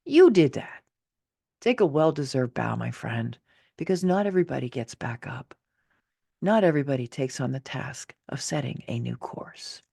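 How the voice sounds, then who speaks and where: Expressive low-voiced woman reading her own essay. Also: normal speed, vocal fry, husky, dry recording, clean studio sound. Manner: emphatic, theatrical, motivational delivery. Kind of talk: expressive scripted narration of a personal essay.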